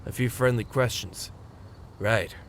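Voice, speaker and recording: A man's voice: mid-range voice, a little slow, a little hiss or hum, natural voice. A man playing a sceptical, concerned young street tough with colloquial speech in a comic-book audio drama. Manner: colloquial, doubtful.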